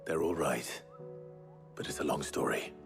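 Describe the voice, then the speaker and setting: A low-voiced man as a stoic hero in a fantasy video game. He speaks in short, measured, earnest phrases. Music is clearly audible behind him. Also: exaggerated voice. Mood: grave. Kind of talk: cinematic video game acting.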